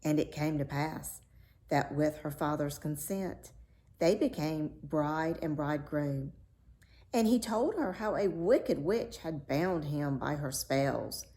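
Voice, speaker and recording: Slow, measured female storyteller. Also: deep voice, dark tone. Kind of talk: read-aloud fairy tale narration.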